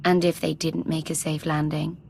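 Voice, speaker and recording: A woman's voice: deep voice, little pitch movement, moderate pace, creaky, darkish tone, a little hiss or hum. A woman playing a cruel, impatient imperial villainess in a sci-fi game, curt and menacing. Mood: cold.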